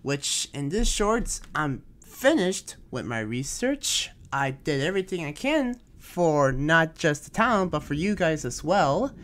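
A man playing a weary, conflicted demon slayer in an improvised game roleplay, speaking haltingly and pensively. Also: fairly high pitch, big pitch swings, fairly bright tone. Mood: weary, earnest. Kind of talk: improvised Minecraft anime roleplay. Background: music.

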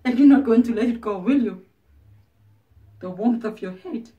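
An actress playing a proud, bitter ageing former prostitute, wounded and defiant, in a confrontational stage monologue with pointed pauses. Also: female voice, a little high, dull audio, natural voice. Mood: angry, accusing. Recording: distant microphone.

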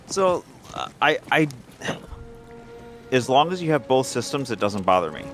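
A man's voice: medium pitch, very animated, normal speed, a bit bright. A man playing co-op games and chatting casually as he plays. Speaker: co-op gamer. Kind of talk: casual chat while gaming. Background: faint game music.

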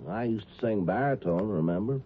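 An actor playing a wry, world-weary small-town police chief in a 1950s radio drama. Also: male voice, on the low side, lively intonation, a little fast, slight background noise, close to an everyday voice. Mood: wry, matter-of-fact. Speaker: police chief narrator and character. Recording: tinny 1950s radio, music behind.

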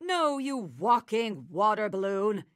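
A woman's voice: medium pitch, sing-song, slow pace. A woman voicing a vain, theatrical villainous bee lady who hosts a show in a cartoon game. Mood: haughty, threatening. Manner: theatrical, haughty. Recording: music behind.

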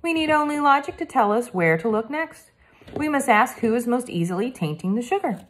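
A woman's voice: on the high side, animated, brisk, slight background noise, pitched above the performer's own voice, close to an everyday voice. A woman doing a formal boy detective. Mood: earnest. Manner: stiff formal phrasing, courteous. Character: polite formal French boy sleuth.

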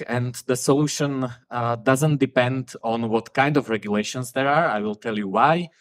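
A man in his thirties with a Slovak accent, giving a blunt conference talk into a handheld microphone and leaning on filler sounds. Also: mid-range voice. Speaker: conference speaker. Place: outdoor festival stage. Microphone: handheld mic.

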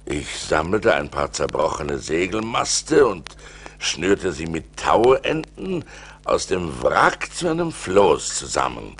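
An actor playing a male seafarer who tells his adventures in a slow, theatrical radio-play style, with dramatic swings, on an old vinyl record. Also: deep voice, creaky, slight background noise, full character voice. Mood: sorrowful, weary. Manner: dramatic, slow, swinging between hushed and exclaiming.

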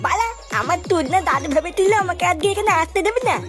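A performer voicing a cheeky, boastful little cartoon boy in a very high, artificial childlike voice, bragging and coaxing. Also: quick pace, loud music behind the voice. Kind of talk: comedy cartoon voice acting.

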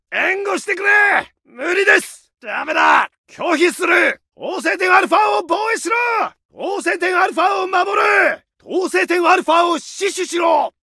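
An actor playing a fierce Japanese infantry soldier, barking aggressive combat orders in a game. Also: male voice, very high voice, sharp tone, no room sound, clean studio sound, full character voice. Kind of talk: shouted combat barks.

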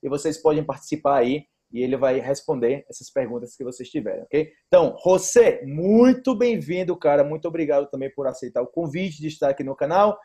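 A chatty young man hosting an interview over a video call, his voice sitting fairly high yet sounding mellow and warm. He talks in an upbeat, easygoing way and laughs often, picked up by an earphone mic in a small room. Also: a little fast, few pauses, breathy edge. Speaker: host.

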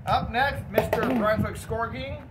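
A man's voice: very high-pitched, fairly even intonation, unhurried. A young man presenting a casual video as its host, recorded outdoors under an open pavilion from a camera a few metres away. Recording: far from mic, noisy open-air recording.

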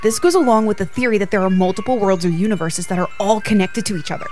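An actress plays a sensible young woman with a bookish love of myths. She explains things drily in a medium-pitched voice. Soft music plays behind her in this audio drama. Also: fast talker, natural voice. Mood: explanatory. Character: sensible, bookish young friend who loves mythology.

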